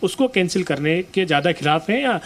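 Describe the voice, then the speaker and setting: A man reporting in the field, asking protesting young men questions, with halting fillers. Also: high voice, animated. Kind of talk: street interview questions.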